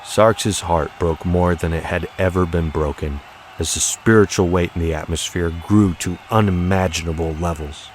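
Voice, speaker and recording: A man's voice: sits low, moderate pace, a little hiss or hum. A man giving a dramatic, scripted audiobook narration of a story, with music behind him.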